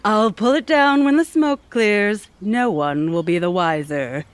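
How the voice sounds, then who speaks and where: A woman's voice: mid-range voice, unhurried, no echo, natural voice. A woman playing a smug, scheming office worker in an audio theatre comedy.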